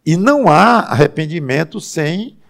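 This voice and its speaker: Pastor guy, gravelly voice a bit high, halting and chatty sermon. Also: expressive, fairly bright tone. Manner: halting, many restarts, slows for emphasis. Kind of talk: conversational sermon.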